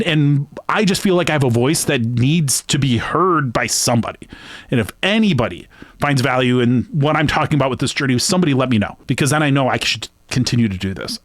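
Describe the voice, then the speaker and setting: A man hosting a sports betting channel, talking alone to his viewers in a rambling, earnest, conversational way that swings between emphatic bursts and hushed asides. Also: medium pitch, no room sound. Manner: long-winded. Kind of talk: reflective solo monologue to camera.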